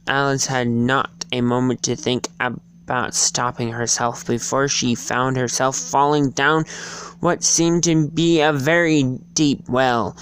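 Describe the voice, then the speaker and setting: A person giving an amateur dramatic reading of a storybook, halting and stumbling over the words. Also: medium pitch, clear, resonant tone. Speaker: reader.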